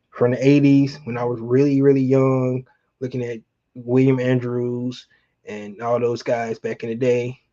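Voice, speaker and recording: Sports stream host: middle-aged man, relaxed mellow voice, colloquial fan chat, slightly muffled lo-fi audio. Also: medium pitch. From Atlanta, Georgia, United States. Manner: conversational, addresses viewers directly, colloquial. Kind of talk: casual fan chat, reminiscing about sports.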